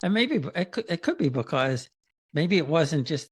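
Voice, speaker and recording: A man's voice: a little high, very animated, normal speed, background noise. A man hosting a casual interview, talking in a hesitant, conversational way with frequent restarts. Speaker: podcast host.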